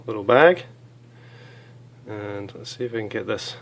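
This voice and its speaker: A hoarse man with a cold, chatting casually as he reviews small gear items, with many fillers. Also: fairly low pitch, unhurried, slight background noise, rough recording.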